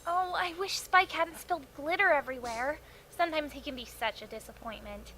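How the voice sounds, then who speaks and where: A woman's voice: sits high, a little fast, lightly acted, low-quality recording. A woman voicing self-absorbed, scheming female ponies in a cartoon parody, theatrical and haughty, with noise behind her. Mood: disappointed.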